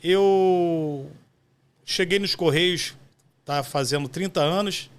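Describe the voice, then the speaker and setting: Higher-voiced Rio man in his fifties. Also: takes it slowly, smooth. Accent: Brazilian, Rio de Janeiro. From West Zone of Rio de Janeiro, Brazil. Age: 50s. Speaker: podcast guest, postal union director. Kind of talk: conversational interview answers, reminiscing.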